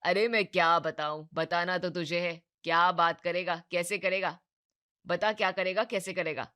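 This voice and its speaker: A confident young city man acted by a woman pushes hard in a loud voice. He is insistent and persuasive, the eager friend of an animated moral story. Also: on the low side, moderate pace. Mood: prodding.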